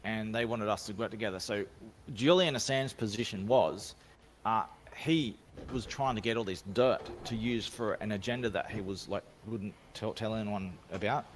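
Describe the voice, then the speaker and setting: A man's voice: fairly low pitch, moderate pace, a little hiss or hum. A man with an Australian accent, talking casually as a guest on a remote call, rambling and self-assured with frequent restarts. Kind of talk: casual podcast storytelling. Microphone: home microphone, remote call.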